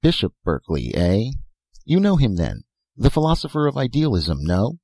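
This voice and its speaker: A man's voice: lowish voice, huge pitch swings, normal speed, vocal fry, darkish tone, no room sound, pitched above the performer's own voice, heavily acted. A man voicing an eccentric, philosophizing old professor who talks in short, insistent phrases and rhetorical questions in a storybook reading. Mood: sly, philosophical. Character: elfin bearded old male professor, eccentric, philosophical inventor.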